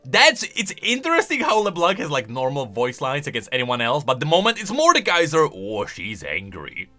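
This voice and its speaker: A man delivers casual commentary in a bright, fairly high voice. His pitch swings widely as he thinks aloud and stresses points emphatically. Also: wildly swooping pitch, moderate pace, faint music behind. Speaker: YouTube lore commentator. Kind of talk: casual, semi-improvised commentary.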